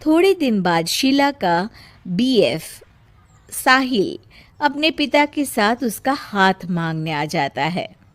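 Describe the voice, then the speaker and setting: A woman's voice: mid-range voice, very animated, slow pace. A woman giving scripted storytelling narration for a cartoon moral story.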